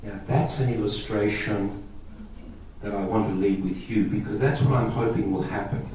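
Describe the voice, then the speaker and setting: A man's voice: lowish voice, steady tone, normal speed, a little mellow, far from the mic, slight background noise. Greek-born man talking in an echoey hall. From Australia. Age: 50s. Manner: conversational, checks in with listeners. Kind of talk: public talk to a lay audience.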